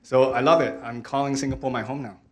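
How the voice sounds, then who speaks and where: A man's voice: medium pitch, steady tone, fast. A man, speaking in a friendly way with many fillers as a panelist, into a handheld microphone. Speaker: engineering lead, panelist. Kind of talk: panel talk. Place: stage of a large hall with audience. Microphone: handheld mic.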